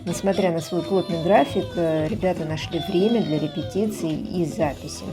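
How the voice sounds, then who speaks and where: A woman's voice: lowish voice, moderate pace, music in the background. A woman reading a scripted voice-over as narrator of a behind-the-scenes report.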